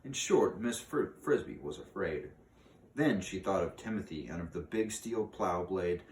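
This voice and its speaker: A man reading a storybook aloud in an expressive way, with dramatic pauses. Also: sits low. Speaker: reader of a children's book aloud. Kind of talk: storybook read-aloud narration. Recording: roomy, distant mic with faint noise.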